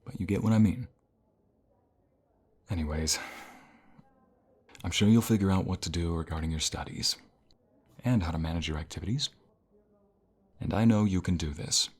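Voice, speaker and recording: A man playing a caring, encouraging college professor in an intimate ASMR role play, speaking softly in a gentle, unhurried, reassuring way. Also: deep voice, husky. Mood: amused, bashful. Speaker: voice actor in an audio role play.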